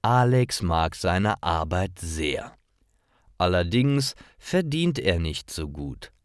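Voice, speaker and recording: A man reading simple stories for language learners as a scripted narration, in careful, clearly separated short phrases. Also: low voice, sing-song, slow pace, no room sound, clean studio sound.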